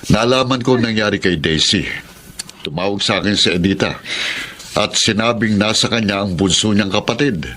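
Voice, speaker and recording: An actor playing a steady older brother who gently lectures his sister. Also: lowish voice, normal speed. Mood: lecturing.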